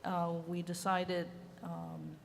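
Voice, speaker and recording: A woman's voice: on the low side, flat delivery, slow, vocal fry. An older woman from Hong Kong who grew up speaking Chinese talks candidly and chattily about her life and her art, laughing easily. Her voice carries a long reverb, and a faint hiss sits under it. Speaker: ceramic artist presenting at a panel. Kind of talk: conference talk. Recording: reverberant hall with faint noise.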